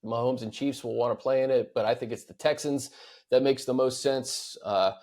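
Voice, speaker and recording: A man's voice: medium pitch, steady tone, moderate pace, a little mellow. An American man hosting a sports podcast in casual, conversational talk, often repeating words as he thinks aloud. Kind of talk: casual sports talk, fielding fan questions.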